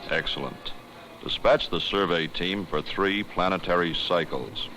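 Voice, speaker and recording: An actor playing a stern alien starship captain in a scripted educational film, speaking formally and with command. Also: male voice, low voice, a little slow, loud music behind the voice, low-quality recording.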